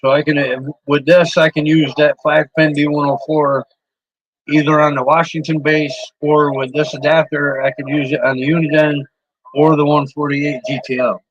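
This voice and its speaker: A man's voice: a little high, little pitch movement, normal speed, no echo, lo-fi sound. A man rambling casually about his CB radio microphones, swearing freely and often restarting his sentences. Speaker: CB radio hobbyist streamer. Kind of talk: casual rambling show-and-tell.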